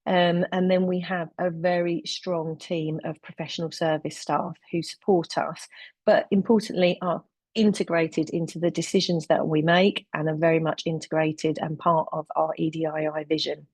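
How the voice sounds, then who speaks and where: A woman's voice: deep voice, level tone, moderate pace, a little mellow, no echo. A woman from London with a London accent, presenting a webinar as deputy director, earnest, with frequent ums and uhs. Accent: London English.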